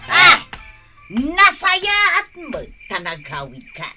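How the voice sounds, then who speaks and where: Woman as a piercing, high-pitched scolding village wife. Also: huge pitch swings, takes it slowly, bright, forceful tone, soft background music, full character voice. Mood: furious. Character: shrill, scolding village wife, quick to anger. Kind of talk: Ilocano radio comedy drama acting.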